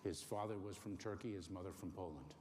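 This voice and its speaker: Deep-voiced man, halting careful answers. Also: mellow. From Cuba. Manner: careful, halting, many ums and pauses. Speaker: interview guest. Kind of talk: measured interview answers. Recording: faint noise in a long-reverb room.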